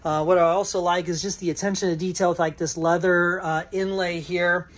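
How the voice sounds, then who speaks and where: A middle-aged man, a yacht broker, walks through a sailboat and lists its features in a relaxed way, with lots of "uh" fillers. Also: high voice, fairly quick, a little hiss or hum. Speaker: yacht broker giving a walkthrough tour. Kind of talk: casual walkthrough narration. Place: aboard a sailboat in a marina, on deck and below. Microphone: handheld camera, first-person view.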